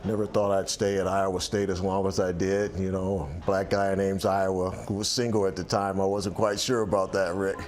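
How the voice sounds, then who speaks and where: A man's voice: sits low, flat delivery, moderate pace, hardly any pauses, darkish tone. A man in his sixties, an athletic director, talking reflectively in a sit-down interview on an outdoor balcony, with many restarts and fillers. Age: 60s.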